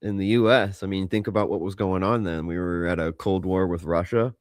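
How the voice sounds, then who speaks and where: A man with a low-pitched voice talks as a podcast co-host. He thinks out loud in an informal, sweary way, and the sound is dry with no room to it. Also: steady tone, fairly quick, no echo. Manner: informal, swearing, musing aloud. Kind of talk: casual pop culture podcast banter.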